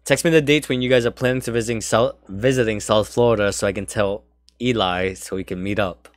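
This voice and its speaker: A man's voice: fairly low pitch, steady tone, brisk, no echo, clean studio sound. A man co-hosting a casual podcast, chatting in a jokey way and reading out comments. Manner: jokey, laughs often. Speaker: podcast co-host.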